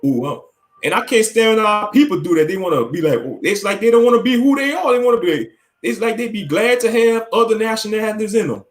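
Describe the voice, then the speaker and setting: A man's voice: high-pitched, animated, fast talker. A man hosting a casual livestream chat into a mic with a pop filter, talking bluntly and swearing freely. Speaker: stream host. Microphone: studio mic with pop filter.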